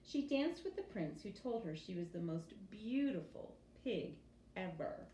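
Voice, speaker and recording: A woman reading a children's story aloud in an expressive teacher's storytelling style. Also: medium pitch, measured pace. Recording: roomy echo with faint background noise.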